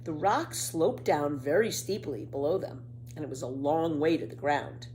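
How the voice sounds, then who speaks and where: A woman reading a children's story aloud in an expressive, careful storytelling manner. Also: sits low, moderate pace, a little hiss or hum.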